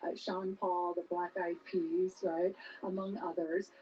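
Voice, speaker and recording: A woman's voice: fairly low pitch, level tone, unhurried, dark tone. A woman hosting a live red carpet interview in an effusive, affirming manner. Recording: dull lo-fi broadcast audio.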